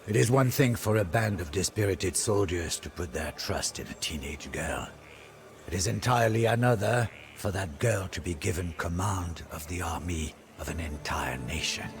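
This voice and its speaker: A weary, loyal soldier chronicling a medieval war for a video game. His voice is very low and creaky, with a breathy edge. He tells it gravely and theatrically, at a measured tread, over prominent music. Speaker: narrator. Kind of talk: dramatic scripted storytelling for game cutscenes.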